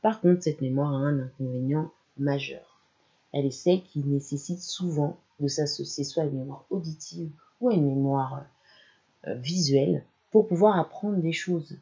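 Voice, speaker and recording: A presenter explaining a learning topic straight to the listener, in a gravelly, mellow voice. The sound is dull and lo-fi. The delivery is steady and instructional. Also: mid-range voice, moderate pace. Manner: explanatory, addresses listener directly. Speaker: language channel presenter. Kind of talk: educational talk to camera.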